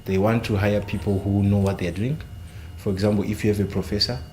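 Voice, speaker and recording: Low-voiced man on a panel. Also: steady tone, a little mellow, a little hiss or hum. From Zimbabwe. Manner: measured, searching for words with many fillers. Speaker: panelist, software engineering student. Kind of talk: panel discussion.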